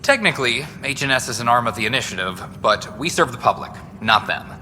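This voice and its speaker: An actor playing an overworked, earnest young news reporter in a video game, chatty, wry and self-deprecating. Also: male voice, mid-range voice, expressive, fast, bright, forceful tone. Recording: reverberant hall with background noise.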